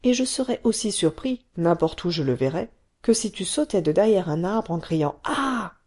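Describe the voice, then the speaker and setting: A woman voicing a patient, calm cave father who explains slowly. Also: husky. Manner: slow, patient, low-key.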